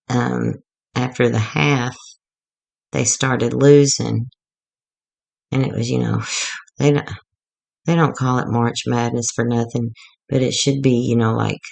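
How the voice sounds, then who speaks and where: A woman going hoarse as she gives a rambling, chatty, self-deprecating walkthrough of a craft project. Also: rumbling low, a little mellow. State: getting hoarse. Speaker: craft tutorial maker.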